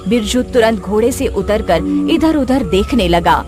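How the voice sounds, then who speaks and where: A woman's voice: fairly high pitch, animated, a little slow, loud music behind the voice. A woman reading scripted narration as the storyteller of a tale.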